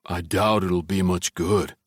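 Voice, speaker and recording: A man doing a gruff, growling tough friend. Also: low voice, fairly even intonation, measured pace, no room sound, clean studio sound. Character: gruff loyal male friend, tough talker.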